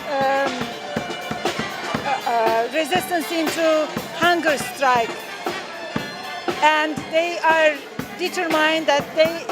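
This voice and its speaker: Uma mulher com sotaque turco fala devagar e com voz aguda, hesitando com muitas pausas e sons de preenchimento. Ela está numa manifestação ao ar livre na rua, e música alta toca bem perto, atrás dela. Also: female voice, high voice. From Turkey. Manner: halting, many fillers, earnest. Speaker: rally participant. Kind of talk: street interview. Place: outdoor street rally.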